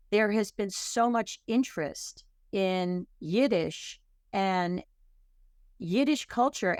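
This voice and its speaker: A woman's voice: mid-range voice, clean tone, no room sound, studio quality. A woman guest in an interview, answering in a chatty way with many fillers and restarts. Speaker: author and klezmer singer, guest.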